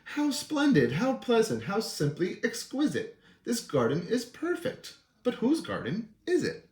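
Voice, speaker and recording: A woman's voice: a little high, huge pitch swings, measured pace, a little mellow, voice pushed up. A man doing a gushing, delighted storybook lady. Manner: gushing, exclaiming. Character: delighted lady out walking, amazed by a garden. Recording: roomy echo.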